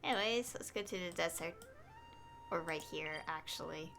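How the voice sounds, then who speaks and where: A woman's voice: fairly low pitch, normal speed, creaky, background noise. A woman streamer giving casual commentary as she plays a game and reading bits of its text aloud. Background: faint game music.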